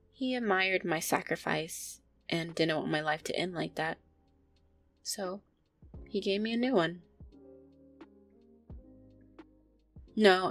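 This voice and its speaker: A woman acts out an affectionate vampire girlfriend in an intimate audio roleplay. Her voice sits fairly low with plenty of fry, and she speaks in a hesitant, conversational way, easily flustered. Music plays softly behind her. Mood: wistful, reluctant. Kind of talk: intimate audio roleplay, first-person storytelling to a listener.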